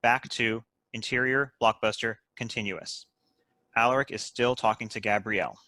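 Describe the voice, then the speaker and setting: Bright, crisp-edged man narrating, dry sound. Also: mid-range voice, fairly even intonation, normal speed, no echo. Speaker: stage-direction narrator. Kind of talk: scripted narration at a comedy table read.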